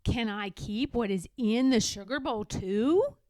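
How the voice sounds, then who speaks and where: A woman voicing a sly, firm young girl in a storybook, speaking with deliberate emphasis. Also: on the high side, animated, darkish tone, dry recording. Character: young girl, firm, sly, singsong, standing her ground.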